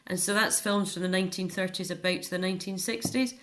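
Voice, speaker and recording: A woman's voice: lowish voice, fairly even intonation, quick pace, a bit bright. A middle-aged woman with a Scottish accent, chatting earnestly and telling anecdotes as a guest in an informal interview. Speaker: interview guest, author and care home activities volunteer or worker. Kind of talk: informal radio interview chat.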